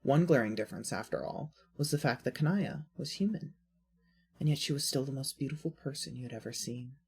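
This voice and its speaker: Husky low-voiced woman narrating fiction. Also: fairly quick, a little mellow. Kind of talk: scripted second-person fiction narration.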